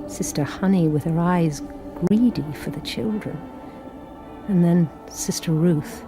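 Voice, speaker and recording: An actress playing a young Sister Superior who talks in a firm, clipped and commanding way, and who also narrates. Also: female voice, on the low side, unhurried, over music, rough recording. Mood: reflective.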